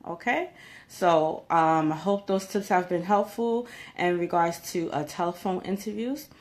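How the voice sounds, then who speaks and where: A woman with a slightly low-pitched voice talks straight to her viewers as a coach. Her delivery is informal and conversational, with emphatic points and small check-ins along the way. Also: unhurried. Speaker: career coach giving job interview tips. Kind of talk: informal advice vlog, talking to viewers.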